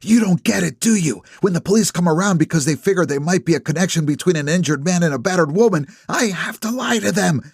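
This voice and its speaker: A man voicing an upset middle-aged woman who scolds a friend in a tense, breathy voice. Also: high-pitched, lively intonation, a little fast, few pauses, no echo, studio quality, voice pushed up. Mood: angry.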